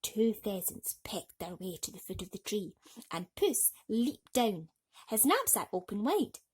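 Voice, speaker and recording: A woman's voice: mid-range voice, sing-song, measured pace, darkish tone, breathy edge. A woman storyteller reading a bedtime story to children theatrically, speaking directly to young listeners.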